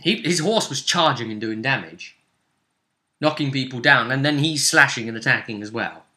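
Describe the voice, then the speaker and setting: A man recapping a tabletop role-playing session as game master, in a casual, animated storytelling manner. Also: mid-range voice, normal speed.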